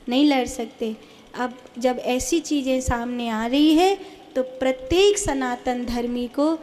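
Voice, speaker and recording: A woman preacher giving a public religious discourse, exhorting listeners emphatically with repeated phrases and rhetorical questions. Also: sits high.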